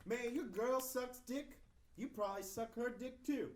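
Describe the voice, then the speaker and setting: A man's voice: very high-pitched, a little slow. A man playing a hostile, crude urban wedding heckler in a comedy script reading. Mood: taunting. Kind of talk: comedy screenplay table read. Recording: roomy table read with background noise.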